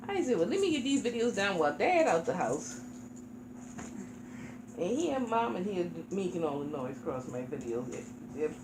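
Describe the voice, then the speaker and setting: A woman, from South Carolina, chatting casually to camera about her reborn dolls at home. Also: on the high side. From Charleston, South Carolina. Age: 40s. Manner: chatty, rambling, informal. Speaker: reborn doll vlogger. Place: home room. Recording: echoey room with background noise.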